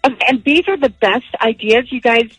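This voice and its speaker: A woman's voice: fairly high pitch, fairly quick, breathy edge, dry recording. A woman in her thirties chatting casually as a parent on a phone call, with a questioning manner. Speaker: parent receiving a prank call.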